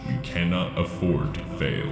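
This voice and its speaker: Ancient construct, gravelly, pompous, formal, sci-fi drama, music behind. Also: male voice, low voice, normal speed. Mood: grave, portentous. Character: ancient arrogant alien machine construct, grave and condescending. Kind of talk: dramatic sci-fi audio acting. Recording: echoing voice under prominent music.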